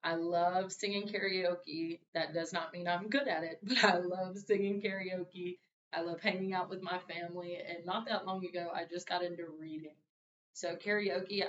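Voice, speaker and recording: A young woman gives a relaxed, conversational introduction speech to her class. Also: moderate pace. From Louisiana and Arkansas. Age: young adult. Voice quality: deep voice. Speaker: student. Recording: roomy, distant, dull mic with faint hiss.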